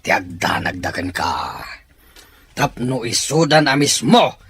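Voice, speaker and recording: A man playing a cruel, gloating chieftain of a rival tribe in a drama, taunting and cackling with drawn-out menace. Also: medium pitch, big pitch swings, vocal fry, a bit bright, slight background noise, full character voice. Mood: taunting, menacing.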